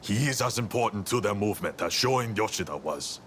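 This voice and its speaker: A stern samurai leader, with a very low voice and a measured, commanding delivery. He sounds resolute, in game cutscene style, with clear ambient noise behind him. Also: expressive, fairly bright tone. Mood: grave, commanding. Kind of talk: video game cutscene acting.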